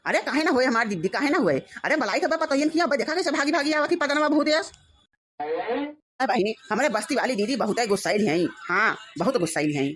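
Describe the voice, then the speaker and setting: Woman as a high-pitched, flustered Awadhi mother-in-law. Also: animated, brisk. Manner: effusive, repetitive, swinging to shouted orders. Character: flustered, fawning village mother-in-law hosting relatives. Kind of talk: rural family comedy cartoon.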